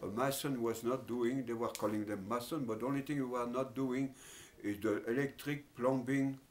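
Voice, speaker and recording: An older man with a French accent, recalling his past in an interview, speaking haltingly with many pauses and fillers. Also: mid-range voice, steady tone. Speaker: oral history interviewee. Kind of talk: reminiscing in an interview.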